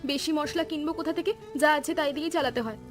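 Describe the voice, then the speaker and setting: A woman voicing a practical, caring village wife in a Bengali cartoon story, brisk and affectionately scolding. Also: high-pitched, soft background music, lightly acted.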